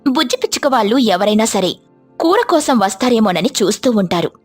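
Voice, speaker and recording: A woman's voice: a little high, big pitch swings, fast talker, faint music behind. Woman narrating a children's story.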